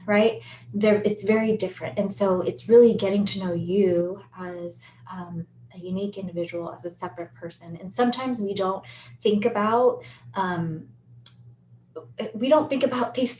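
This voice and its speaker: A woman's voice: medium pitch, darkish tone, slight background noise. Psychologist woman explaining in a roomy room. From United States. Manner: explanatory, often ends points with "right?". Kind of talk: educational talk on relationships. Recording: roomy, slightly dull recording.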